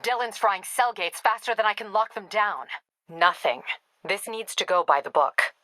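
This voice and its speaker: A tough female police captain for a video game. Her voice is bright and forceful, in a very dry, close recording. She speaks in a clipped, authoritative way, dutiful and a little wry. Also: mid-range voice, animated, moderate pace, no echo. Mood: businesslike. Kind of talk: video game dialogue acting.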